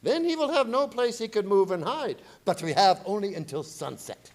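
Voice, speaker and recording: An actor playing a commanding vampire-hunting professor in a radio play, grave, formal and urgent. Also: male voice, high-pitched, expressive, normal speed, noisy background. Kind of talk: live radio play acting.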